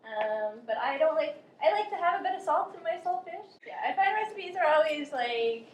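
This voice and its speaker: A young woman as a guest cook, explaining a recipe step by step in a relaxed way with plenty of fillers. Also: high-pitched, normal speed. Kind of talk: casual cooking instruction. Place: outdoor cooking spot at a river park. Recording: echoey, faint noise.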